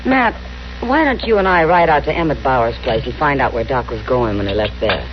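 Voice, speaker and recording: A woman's voice: very deep voice, expressive, brisk. An American woman playing a worried, plain-spoken frontier saloon woman in an old-time radio western, direct and insistent. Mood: uneasy, insistent. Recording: muffled, noisy vintage radio recording.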